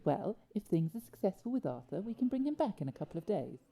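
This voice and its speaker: An actress playing a cold, domineering care home boss in an audio drama, husky and curt. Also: female voice, mid-range voice, very animated, quick pace, dark tone. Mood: cold, firm. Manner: curt, commanding. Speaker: actor.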